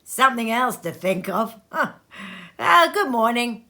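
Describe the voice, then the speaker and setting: Woman voicing a brisk wealthy businessman, animated. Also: fairly high pitch, voice pushed up, heavily acted. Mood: brisk, indifferent. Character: wealthy, important businessmen exchanging clipped, polite small talk. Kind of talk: storybook character reading.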